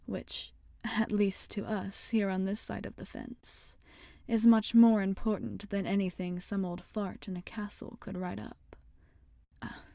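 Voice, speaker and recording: A woman voicing a wry, rebellious witch shopkeeper in a soft-spoken ASMR roleplay, often slipping into whispers. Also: medium pitch, vocal fry, dry recording, studio quality.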